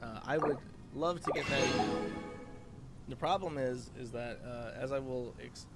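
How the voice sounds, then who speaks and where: A man giving a casual spoken commentary as a host, in halting, stop-start phrasing. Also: medium pitch, fairly even intonation. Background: music.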